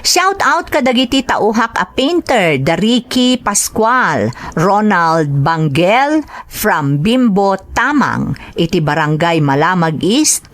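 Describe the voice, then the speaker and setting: Ilocano woman host, slow and lively delivery, reads letters for drama. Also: mid-range voice. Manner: slow, expressive reading. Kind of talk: reading letters and greetings, radio drama.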